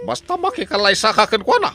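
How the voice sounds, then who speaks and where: An actor playing a loud, domineering parent in an Ilocano audio drama, forceful and scolding. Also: medium pitch, sing-song, quick pace, close to an everyday voice. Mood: scolding, insistent.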